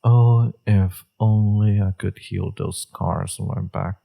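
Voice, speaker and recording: A man playing a flirtatious, teasing villain with a secretly tender side in an intimate romantic audio roleplay, his voice husky and murmured. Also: animated, warm tone, heavily acted. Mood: soft, wry. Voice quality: low, husky, gravelly, breathy. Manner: slow, teasing, murmured delivery.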